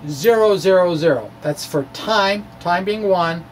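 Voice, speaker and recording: A man giving a dramatic, halting reading of his physics blog, with self-deprecating off-script asides. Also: sits high, slight background noise. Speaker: physics blogger presenting his own talk.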